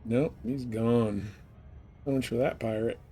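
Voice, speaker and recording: A man's voice: mid-range voice, steady tone, measured pace, warm tone. A man giving casual live gameplay commentary with a dry, wry manner. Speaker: game live streamer. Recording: noisy game stream.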